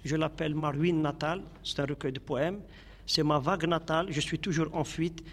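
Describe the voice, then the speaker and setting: A man's voice: a little high, steady tone, brisk, big-room sound. A man from Algeria, a poet, speaking in a radio interview and reciting his poems in a lyrical, grandiloquent way. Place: radio studio.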